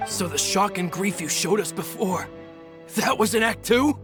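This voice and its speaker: A man's voice: high-pitched, moderate pace, over music, lightly acted. A man playing a loyal, protective henchman in a video game, urgent and indignant. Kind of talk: dramatic video game acting.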